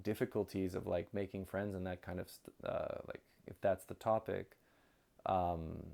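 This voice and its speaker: A man chatting casually as an interview guest, with an animated storytelling manner. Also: sits low, gravelly. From outside Japan. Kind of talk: casual chat.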